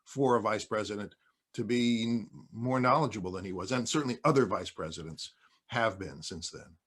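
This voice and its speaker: A man with a husky voice on a panel, explaining things informally in a measured way. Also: lowish voice. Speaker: podcast panelist.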